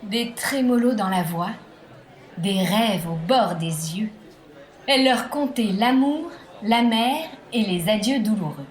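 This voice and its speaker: A woman narrating a scripted children's tale as a storyteller, with theatrical, deliberate phrasing. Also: mid-range voice, expressive, a faint crowd. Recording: roomy echo, music behind.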